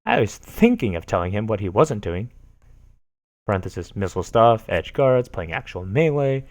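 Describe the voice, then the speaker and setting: A man's voice: fairly low pitch, sing-song, normal speed, dry recording. Man doing mock-dramatic post readings. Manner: mock-dramatic, profane, swings between loud and soft. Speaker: stream host. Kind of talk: dramatic reading of forum posts.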